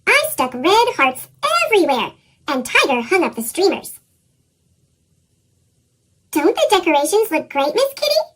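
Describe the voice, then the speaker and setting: Young storybook critter, excitable, exclaiming, pitched-up chipmunk voice, bright, animated. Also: female voice, measured pace, long pauses, heavily acted. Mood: excited. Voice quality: sped-up squeaky chipmunk voice. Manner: bubbly, exclaiming. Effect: pitched-up chipmunk effect.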